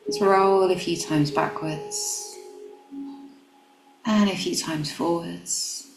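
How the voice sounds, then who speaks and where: A woman guiding a meditation in a gentle, calm, instructional manner. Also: lowish voice, takes it slowly, vocal fry. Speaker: meditation guide. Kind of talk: guided meditation. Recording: roomy echo, music behind. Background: background music.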